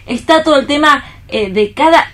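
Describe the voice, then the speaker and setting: A woman with a fairly high voice talks casually on a radio panel. She is thoughtful, often checking that the others agree with small tag questions. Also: normal speed, fairly bright tone. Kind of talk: casual literary discussion.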